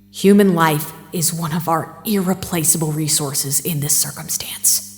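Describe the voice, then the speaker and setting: Actress as female site director, audio drama, low pitch, driven, weary, insistent, long reverb. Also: close to an everyday voice.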